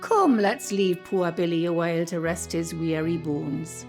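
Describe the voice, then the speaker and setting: A woman acting the sharp-tongued ghost of an old landlady in a radio play, with a Northumbrian accent and a scolding, bossy way of talking. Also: lowish voice, soft background music. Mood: proud. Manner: scolding, bossy, quick to defend herself.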